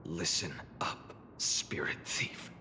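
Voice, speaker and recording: An actor voicing a world-weary warrior for a game, grave, dejected and deliberate, with a breathy delivery. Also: male voice, bright, forceful tone, slight background noise. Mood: cold grave. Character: world-weary solemn male warrior who knows too much.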